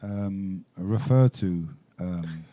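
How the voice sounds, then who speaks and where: A man hosting a radio talk show, asking questions in a measured way, often halting and restarting. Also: low-pitched, warm tone, dry recording.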